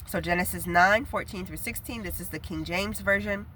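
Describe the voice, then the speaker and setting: A woman's voice: lowish voice, normal speed, pushed and bright, a little hiss or hum, rough recording. A young woman, nasal from a head cold, sharing a prophetic message in an earnest, chatty, rambling way. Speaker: prophetic video preacher. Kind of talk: casual spoken prophetic message.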